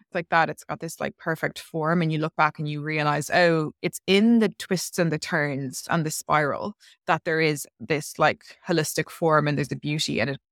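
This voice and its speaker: A woman's voice: sits low, fairly even intonation, moderate pace, no echo, clean studio sound. A woman guest on a podcast telling a personal story in a chatty, rambling way, full of "like" fillers. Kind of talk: casual interview storytelling.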